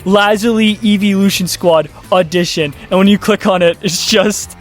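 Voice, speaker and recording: A man with a fairly high voice talks through casual video commentary. He rambles sarcastically and swears freely, with faint music running behind him. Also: fairly even intonation, normal speed. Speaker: YouTube commentator.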